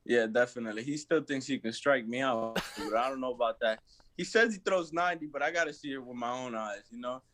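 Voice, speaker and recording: A young college basketball player from Massachusetts answering interview questions in a halting way, filling pauses with "you know" and "uh". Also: male voice, medium pitch, a bit bright. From Lawrence, Massachusetts. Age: young adult.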